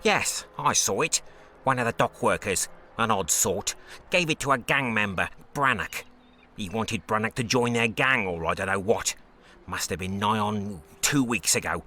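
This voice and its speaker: A man's voice: fairly low pitch, moderate pace, bright, forceful tone. An actor playing a wary, blunt British tavern keeper in a video game, guarded in his answers. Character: gruff, wary shady British tavern keeper selling homemade liquor.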